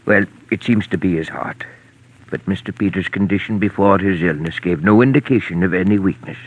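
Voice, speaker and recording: An actor playing a grave, measured, professional doctor in a 1940s radio drama. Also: male voice, low-pitched, breathy edge. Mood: puzzled, grave. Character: grave, puzzled male family doctor. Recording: muffled vintage radio recording.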